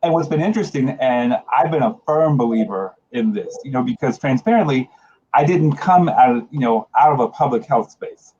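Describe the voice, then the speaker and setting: Mellow man in his forties, dull audio. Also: medium pitch, animated, normal speed, a little hiss or hum. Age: late 40s. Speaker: guest, HIV organization leader. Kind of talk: earnest conversational panel discussion.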